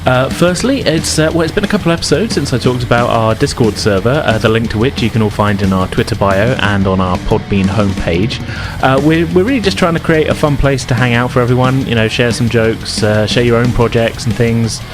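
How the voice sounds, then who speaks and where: A man's voice: mid-range voice, fairly quick. A man hosting and game mastering a tabletop role-playing podcast in an informal, chatty way. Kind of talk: casual podcast intro and tabletop game narration. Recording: music behind the voice. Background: music.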